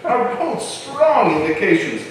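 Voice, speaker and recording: A scheming colonial councilman acted by a man orates pompously in a stage drama. The high voice swings widely in pitch and rings from far off in an echoing hall. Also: very animated, measured pace, slight background noise. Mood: sarcastic, accusing. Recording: very echoey hall, distant mic.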